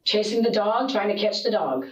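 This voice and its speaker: A woman's voice: medium pitch, lively intonation, fairly quick, muffled recording. A mother being questioned by police, answering with defensive, repeated denials. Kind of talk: police interrogation. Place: police interview room. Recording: echoey room, voice from far off.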